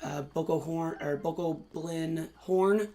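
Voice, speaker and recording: Hoarse young man doing game commentary. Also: a little high, takes it slowly. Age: young adult. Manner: excitable, chatty, many fillers. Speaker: gaming channel host. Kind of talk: let's play commentary.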